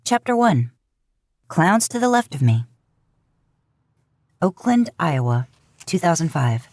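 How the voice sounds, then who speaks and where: Low-voiced woman reading a story. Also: big pitch swings, slow pace, darkish tone, no echo. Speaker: narrator. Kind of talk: fan fiction audiobook narration.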